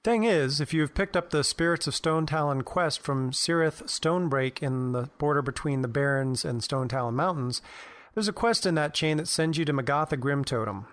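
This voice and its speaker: A man's voice: fairly high pitch, moderate pace, a bit bright, no echo. A man presenting a prepared commentary on fantasy game lore for a podcast, in explanatory fashion.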